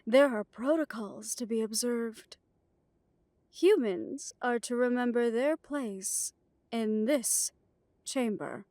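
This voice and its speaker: A woman playing an imperious, condescending vampire coven leader in an audio drama, with a measured, haughty delivery. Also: fairly high pitch, warm tone, dry recording. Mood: stern, imperious. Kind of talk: audio roleplay drama acting.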